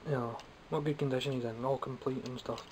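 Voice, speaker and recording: A young man with a Scottish accent talks off the cuff from behind a home camera, dry and self-mocking as he rambles. His voice sits at an ordinary middle pitch and sounds a little phlegmy. A faint hiss runs under the recording. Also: steady tone, fast, low-quality recording. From Scotland. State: phlegmy, coughing. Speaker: toy collector vlogger. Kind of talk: casual unscripted toy haul chat. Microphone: camera mic, speaking from behind the camera.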